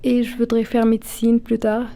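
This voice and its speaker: A teenage girl from Syria, a high school student speaking French casually and with ease. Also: completely flat delivery, moderate pace, vocal fry, warm tone.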